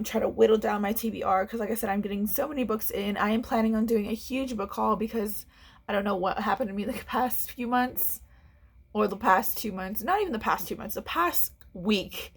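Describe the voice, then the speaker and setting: A woman's voice: medium pitch, vocal fry, breathy edge. Chatty congested young woman. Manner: chatty, rambling with restarts and "like". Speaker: book vlogger. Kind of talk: casual chat to camera. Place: home room.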